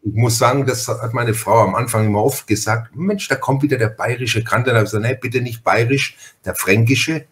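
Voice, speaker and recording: A man's voice: medium pitch, brisk, hardly any pauses, fairly bright tone, slight background noise. A man from Franconia with a Franconian accent hosting a casual podcast conversation, rambling and often repeating his phrases. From Franconia, Germany. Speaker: podcast host.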